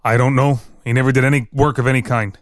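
A woman as a very low-voiced, terse male prisoner. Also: fairly even intonation, quick pace, no echo, studio quality. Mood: terse, subdued. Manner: terse, clipped answers in simple, broken English. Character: male German immigrant prisoner confessing to murder, terse and evasive. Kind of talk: dramatic reading of an interrogation transcript.

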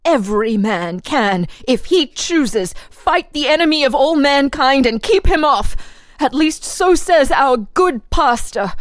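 An actress playing a proud, resolute young village maiden, formal and declamatory in a melodramatic reading. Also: female voice, high-pitched, expressive, unhurried, dry recording, studio quality. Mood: firm admonishing.

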